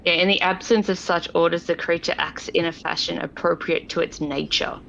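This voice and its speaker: Creaky lower-voiced woman at a tabletop game. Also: steady tone, a little fast, fairly bright tone. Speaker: player. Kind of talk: casual tabletop game chat, some rules reading. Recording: slightly muffled, faint background noise.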